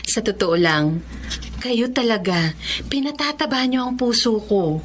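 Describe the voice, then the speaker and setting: An actress playing a kind, selfless, cheerful teacher in a Filipino radio drama, with a gentle, good-humored manner. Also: female voice, a little high, lively intonation, a little slow, slight background noise. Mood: warm, cheerful.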